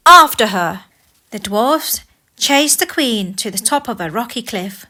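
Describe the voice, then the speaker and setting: A woman narrating a scripted children's fairy tale in an expressive, deliberate way. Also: on the high side. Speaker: storyteller.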